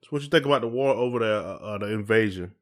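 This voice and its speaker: A man's voice: lowish voice, fast talker. A man co-hosting a podcast in casual chat, asking questions in informal, hesitant phrasing. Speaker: podcast co-host.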